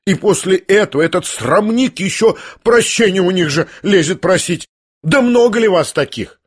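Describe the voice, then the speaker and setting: A male reader puts on an older lady of rank who scolds everyone around her. The voice is pitched up and husky, loud and indignant, hurling reproaches in a storybook reading. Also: lively intonation, normal speed. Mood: indignant scolding. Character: agitated older noblewoman, fiery and scolding. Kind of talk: audiobook character reading.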